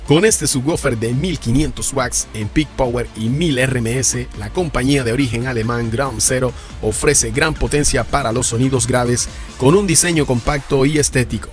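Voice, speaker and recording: A man's voice: mid-range voice, moderate pace. A man reading a scripted rundown of subwoofer specifications and prices for a car audio channel. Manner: reads spec lists and prices in an even explaining tone. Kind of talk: scripted product narration. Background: background music.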